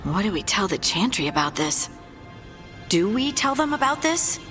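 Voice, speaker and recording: A woman's voice: mid-range voice, very animated, moderate pace, fairly bright tone, in a large hall, soft background music. A woman voicing an earnest, hesitant, devout scout in a fantasy video game. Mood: troubled.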